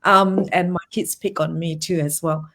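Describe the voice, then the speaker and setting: A middle-aged woman with a Malaysian accent talks over a video call as a guest on a live stream. Her voice sits a little low. She rambles casually, restarting her sentences and laughing easily. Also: steady tone, normal speed, a bit bright.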